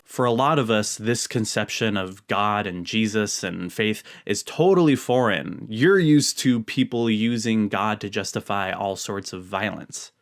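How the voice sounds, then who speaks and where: A man speaking as a video host, giving reflective commentary to viewers in an earnest, measured manner. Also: mid-range voice.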